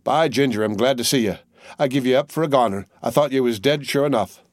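A man voicing a loud, anxious young soldier on sentry who speaks in quick rustic dialect. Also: medium pitch, dry recording, clean studio sound, close to an everyday voice. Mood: relieved.

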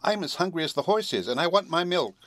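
A man's voice: on the high side, very animated, fast talker, fairly bright tone, no echo, voice pushed up. A man voicing a small, self-assured pet kitten in a storybook, with a little teasing voice. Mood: demanding. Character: small, carefree, cheeky female pet kitten.

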